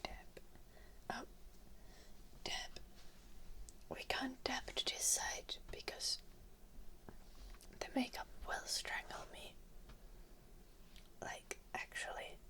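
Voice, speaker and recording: Slow, whispering woman. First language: Finnish. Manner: soft whispering, chatty and casual, answers chat; bouncing while sitting on an exercise ball. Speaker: ASMR streamer. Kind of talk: whispered live-stream chat.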